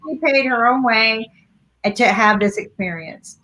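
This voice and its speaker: A woman panelist telling a story in a lively, chatty way, laughing as she goes. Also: on the high side, unhurried, poor audio. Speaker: panelist, music producer. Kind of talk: casual storytelling.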